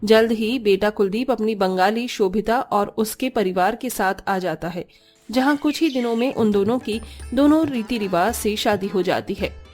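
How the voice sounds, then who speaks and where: A woman's voice: medium pitch, normal speed, darkish tone, faint music behind. A woman reading scripted narration for a story as its narrator.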